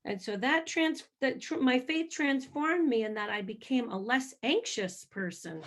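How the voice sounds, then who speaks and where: A woman singer answering interview questions about her faith, telling her story in a lively, hyper way. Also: sits high, measured pace. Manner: animated storytelling, self-described hyper.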